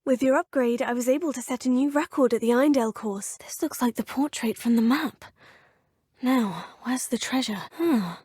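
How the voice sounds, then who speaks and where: A woman's voice: on the high side, normal speed, dark tone, husky, no echo, clean studio sound. An actress voicing a poised, polite young witch student in a game, proper and lightly teasing. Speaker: player character, student. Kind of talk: game voice lines. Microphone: close studio mic.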